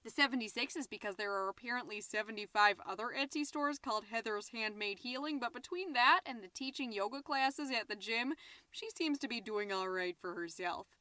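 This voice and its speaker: Woman acting a bright, high-voiced gossipy American suburban mom. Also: a little fast, no room sound. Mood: chatty, wry. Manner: chatty, indignant, with muttered asides. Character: gossipy, petty, easily flustered suburban mom podcaster. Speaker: podcast host. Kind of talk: scripted comedic monologue as chatty podcast.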